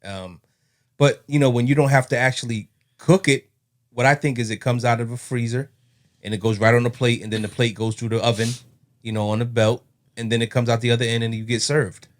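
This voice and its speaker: A man's voice: medium pitch, fairly even intonation, a little fast. An American man co-hosting a casual podcast, laid-back and rambling, telling stories with many fillers. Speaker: podcast co-host. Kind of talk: casual chat.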